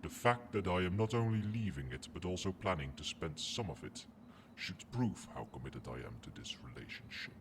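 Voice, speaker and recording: Male actor as proud dragon, low-pitched, haughty and formal, possessive yet protective, ASMR roleplay, background ambience. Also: expressive, noisy background. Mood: commanding, proud. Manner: formal, haughty, measured speech.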